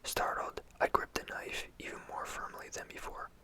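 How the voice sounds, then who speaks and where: A storyteller whispering a first-person horror story in a hushed, intimate and steady way. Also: moderate pace.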